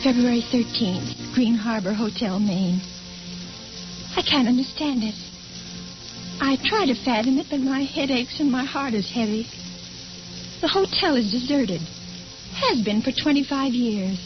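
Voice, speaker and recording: An actress playing a frightened, anxious wife in old-time radio suspense style, nervous, hesitant and pleading. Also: female voice, a little high, a little slow, long pauses, music in the background, lightly acted. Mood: troubled, despairing. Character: frightened, anxious wife trapped with sinister husband. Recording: noisy, hissy, muffled old radio recording.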